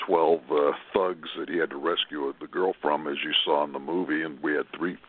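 A man, a film director calling in as a guest, recounting a shoot in a rambling way, with many pauses. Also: very deep voice, steady tone. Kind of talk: casual storytelling on a call-in show. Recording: tinny phone line, dry.

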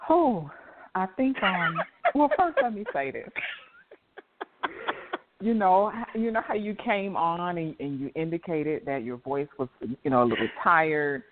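A tired man with a slightly raspy voice speaks earnestly on a call-in show, pitched a touch high. He stammers and restarts as he works through his thoughts. The sound comes through a tinny phone line. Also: lively intonation, measured pace, slight background noise. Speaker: co-host, guest doctor. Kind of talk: casual talk show over a phone line.

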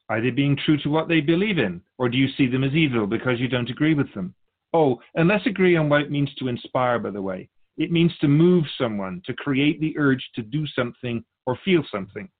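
A man voicing a wise, patient spirit who observes humankind, explaining and questioning in a measured conversational way on a radio show. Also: mid-range voice, natural voice. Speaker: guest sage on a radio show. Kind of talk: conversational philosophical teaching. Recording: thin phone-like band.

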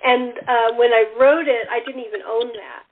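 A woman's voice: a little high, moderate pace, narrow, tinny audio. A woman hosting a casual panel chat and talking about her own writing, heard over thin call audio. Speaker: host, writer of the radio play.

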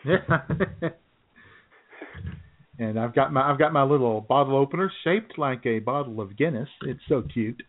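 A man co-hosting a radio comedy show in casual banter, jokey and a little halting, often repeating his phrases. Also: medium pitch, lively intonation, slight background noise. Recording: muffled phone-like internet radio audio.

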